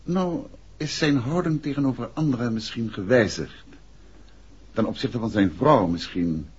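An actor playing a composed, courteous but insistent detective in a 1960s Dutch radio drama. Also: male voice, mid-range voice, unhurried, a little mellow, husky. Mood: calm, probing. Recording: muffled old radio recording, faint hiss.

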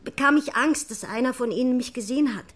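A woman's voice: high-pitched, quick pace. An actress playing a frightened teenage girl in a crime radio drama, confessing in a hesitant, anxious manner. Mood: confessing, subdued.

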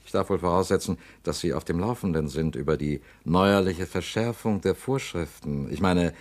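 A man's voice: low voice, moderate pace, darkish tone, natural voice. A man playing a scheming, insinuating politician. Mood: threatening. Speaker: politician confronting a company director. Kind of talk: radio drama acting.

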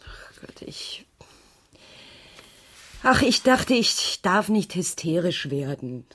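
An actress in a German radio crime play playing an uneasy married schoolteacher who gives a hesitant, detailed account to police. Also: female voice, on the low side, very animated, slow, fairly bright tone, in a whisper, heavily acted. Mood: defensive, flustered. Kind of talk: 1980s German radio drama dialogue.

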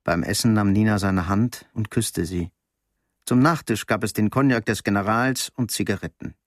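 A man narrating a radio drama as a sober storyteller, measured and literary, with a husky voice. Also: on the low side, dry recording, clean studio sound, natural voice. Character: sober male narrator of a Cold War crime story.

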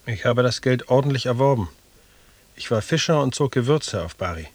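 A male actor as a proud, stubborn man pleading his case in a radio drama. The voice sits at a middle pitch in a dry, close sound. He is deferential but keeps insisting. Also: expressive, fairly quick, a little mellow, no echo, close to an everyday voice. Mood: insistent. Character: proud, stubborn African man seeking compensation. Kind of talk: German radio drama acting.

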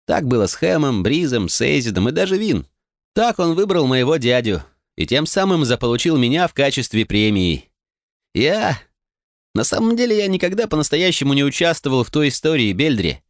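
A man's voice: mid-range voice, big pitch swings, normal speed, no echo, voice pushed up. A modest, streetwise young man, as a male reader voices him in an audiobook, sounding eager and self-deprecating. His voice sits well above the reader's own. The recording is dry and close. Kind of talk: audiobook character reading.

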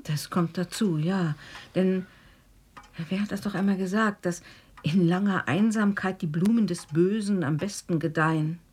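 Husky, solemn nursing sister. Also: female voice, on the low side, normal speed, a little mellow. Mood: ominous conviction. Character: earnest, superstitious, convinced nursing sister. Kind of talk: German radio drama acting.

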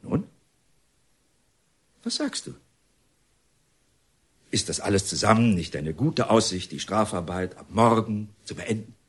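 Pompous, long-winded male director for radio drama: slow, declamatory, with big melodic swings. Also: fairly low pitch, animated, fairly bright tone, breathy edge, heavily acted. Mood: jovial, lecturing. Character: excitable, theatrical male reformatory director, paternal and long-winded. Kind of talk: German radio drama acting.

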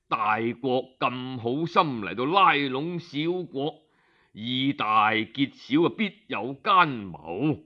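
Man playing a low, shrewd old Cantonese minister. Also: expressive, lo-fi sound. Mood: grave, certain. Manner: knowing, deliberate, rhetorical. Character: shrewd old male minister, knowing and amused.

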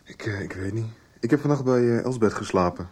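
An actor playing a casual, evasive young brother who talks haltingly, in a 1990s radio soap. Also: male voice, fairly low pitch, darkish tone, slightly rough. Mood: offhand, evasive. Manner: hesitant, offhand.

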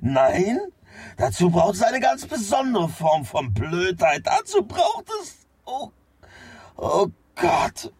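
A man's voice: high-pitched, wildly swooping pitch, slow, a little mellow, slightly rough, full character voice. An actor playing a weary, self-deprecating middle-aged father and fired factory worker in a German radio drama, blunt and groaning. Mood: agitated, bitter.

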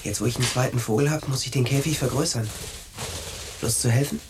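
A man's voice: mid-range voice, quick pace, natural voice. An actor playing a dreamy, earnest teenage boy with a matter-of-fact way of talking, in a German radio drama. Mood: eager, matter-of-fact. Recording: ambient noise behind studio drama. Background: background ambience.

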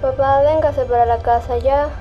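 An actress playing a worried, pleading young daughter on the telephone in a radio drama. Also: female voice, high-pitched, fairly even intonation, moderate pace, over music, close to an everyday voice. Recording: dull, band-limited telephone-call sound.

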